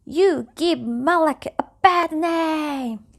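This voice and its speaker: Woman reading as a stern sultan, slow and emphatic. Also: high voice, vocal fry, voice pushed up, full character voice. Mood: scolding. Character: stern, righteous male sultan in a folk tale.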